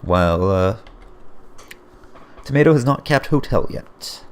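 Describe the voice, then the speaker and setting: Man, game caster, hoarse and raspy, low voice, excitable play-by-play. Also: a little slow. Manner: excitable, frequent surprised exclamations. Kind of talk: play-by-play commentary on a strategy game replay.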